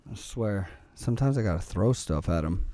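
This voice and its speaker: A young man with a low voice, streaming a game and chatting casually, rambling and cursing as he plays. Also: expressive, moderate pace, dark tone, dry recording. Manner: rambling, profane, joking, talks to chat and to the game. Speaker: gaming streamer. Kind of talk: casual gameplay commentary.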